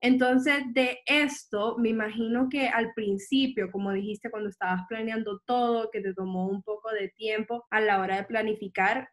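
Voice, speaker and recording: A woman's voice: fairly high pitch, steady tone, normal speed, hardly any pauses. A woman interviewing a business owner, asking long, friendly questions.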